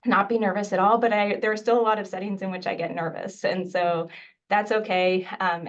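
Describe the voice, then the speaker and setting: A middle-aged woman from Mexico whose first language was Spanish, a physician giving a conversational, earnest webinar talk with frequent fillers. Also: mid-range voice. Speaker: infectious disease physician and mentor. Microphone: video call microphone. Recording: dull, distant video call audio.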